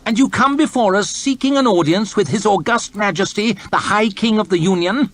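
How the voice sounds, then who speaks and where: A man's voice: sits high, moderate pace, no echo, voice pushed up. A man voicing an arrogant, irritable male nobleman who bellows with contempt, in an audiobook. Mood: haughty. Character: pompous, irritable middle-aged male lord chamberlain.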